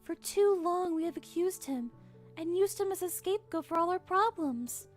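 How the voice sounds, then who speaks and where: A woman playing a kind, humble young pony queen in an animated drama, speaking softly and sincerely. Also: extremely high pitch, moderate pace, soft background music. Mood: solemn gratitude. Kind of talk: animated fan drama dialogue.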